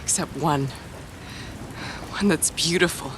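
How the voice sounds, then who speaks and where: Blunt young woman, low-pitched voice. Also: takes it slowly, noisy background. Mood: earnest, pleading. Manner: blunt, sweary, emphatic. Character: defiant young woman haunted by visions, foul-mouthed, vulnerable. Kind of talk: video game cutscene acting.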